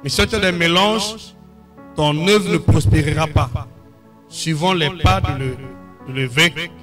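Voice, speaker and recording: High-voiced man preaching over loud music. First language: English. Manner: preaching in short phrases, pausing for translation. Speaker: pastor. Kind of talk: church address with interpreter.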